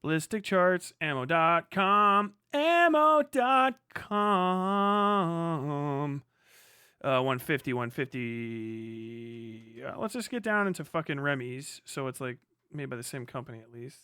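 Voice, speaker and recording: Man, slightly high voice, smooth and clear, casual streamer talk, halting, sweary, dry close recording. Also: very animated, bright, forceful tone, no room sound. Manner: swears freely; halting, muttering while reading figures aloud. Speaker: live streamer. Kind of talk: casual livestream talk, thinking aloud.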